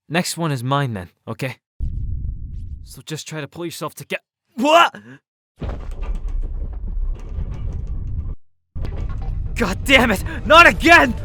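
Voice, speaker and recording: A man's voice: high-pitched, pushed and bright, full character voice. A man playing an anxious, earnest teenage schoolboy in an anime game fan dub, hesitant and prone to outbursts. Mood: steadying, then alarmed.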